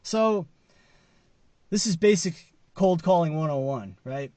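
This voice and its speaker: A man's voice: fairly high pitch, lively intonation, takes it slowly, dark tone, dry recording. A man around his late thirties or forties giving casual, conversational news commentary to camera, with emphatic stresses. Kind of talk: casual commentary to camera, part read from an article. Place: inside a parked car.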